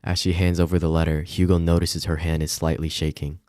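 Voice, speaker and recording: A man reading a visual novel aloud as dramatic, measured narration. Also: extremely low pitch, flat delivery, warm tone, dry recording, studio quality.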